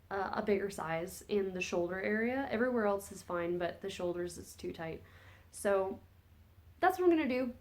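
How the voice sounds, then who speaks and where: A woman talks in a loose, rambling, chatty way, often stopping to correct herself. Her voice sits at an ordinary middle pitch and sounds a little phlegmy, as she is still getting over a cold. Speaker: podcast host. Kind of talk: casual chat about knitting projects.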